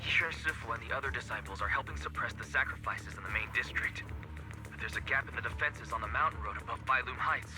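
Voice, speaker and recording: A man's voice: mid-range voice, fast, natural voice, rough recording. An actor playing an urgent, dutiful male soldier reporting in an anime game, with music behind him.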